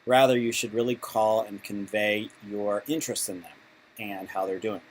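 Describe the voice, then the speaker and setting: A man with a fairly low voice gives an informal lesson as an instructor. He feels his way through sentences conversationally, often restarting them. There is noticeable noise on the amateur recording. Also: fairly even intonation. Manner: halting, conversational phrasing with repeated restarts. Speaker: instructor for patient volunteers. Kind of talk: informal instructional explanation with role-played examples. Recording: clearly noisy amateur recording.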